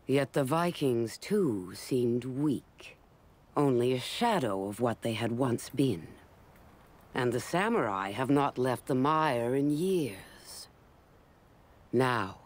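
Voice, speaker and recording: An actor playing a proud, grave medieval knight, narrating a game cutscene in a solemn, measured and portentous way. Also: male voice, medium pitch. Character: proud, grave medieval knight recounting his order's history. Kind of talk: scripted dramatic cutscene narration. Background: loud dramatic music.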